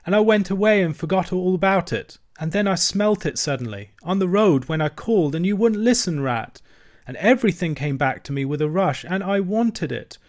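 A man voicing a small, timid, homesick male mole who sobs and pleads in a high, broken voice. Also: expressive, moderate pace. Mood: sobbing.

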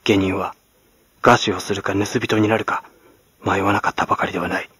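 A man from Kagoshima, Japan, narrating classic literature as a dramatic audiobook in a grave, measured manner. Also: low voice, flat delivery, bright, forceful tone, slightly rough, no echo. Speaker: actor, narrator. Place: at home, close and dry.

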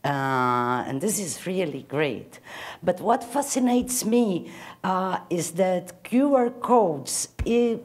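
A middle-aged woman with a Brazilian Portuguese accent, giving an academic conference talk from a stage in a measured, thoughtful way with frequent fillers. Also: sits low, darkish tone. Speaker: conference speaker. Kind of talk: academic lecture. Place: stage of a lecture hall.

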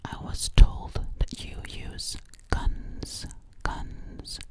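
A young woman playing a gentle, curious alien girl in an ASMR roleplay, whispering breathily very close to the mic and softly repeating her phrases. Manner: slow, soothing, repeats words and phrases. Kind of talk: ASMR personal-attention roleplay. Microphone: very close to a large microphone.

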